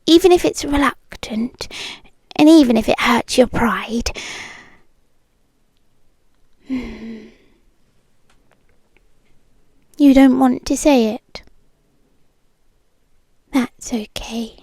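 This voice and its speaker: A woman with a British accent playing a possessive, obsessive ex-girlfriend in an ASMR roleplay, breathy and often dropping into whispers, intimate and sweetly menacing, close to the microphone. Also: sits high, darkish tone, no room sound. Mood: coaxing, clingy. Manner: slow, intimate, lingering delivery.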